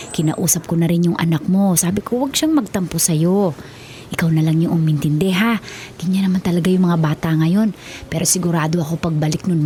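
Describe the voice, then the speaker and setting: A woman playing a gentle, worried older Filipino grandmother who speaks candidly in a television drama. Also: fairly low pitch, slight background noise, natural voice. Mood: concerned.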